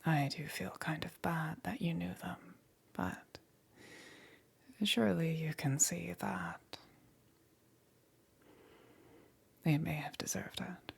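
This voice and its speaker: A woman playing a powerful demon queen, gentle yet calmly menacing, in a breathy whispered ASMR roleplay with long pauses. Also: on the low side, full character voice. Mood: gentle, reassuring. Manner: slow, soft, coaxing, with long pauses.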